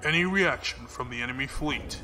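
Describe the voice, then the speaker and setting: A man playing a shrewd, composed military fleet commodore in a science fiction audio drama, measured and commanding. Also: mid-range voice, sharp tone. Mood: cool, probing. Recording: music behind the voice.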